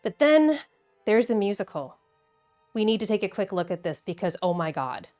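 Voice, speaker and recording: A woman's voice: medium pitch, sing-song, normal speed, a little mellow, faint music behind. A woman with an American accent narrates a scripted video essay in a wry, conversational, emphatic way with casual asides. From Midwestern United States.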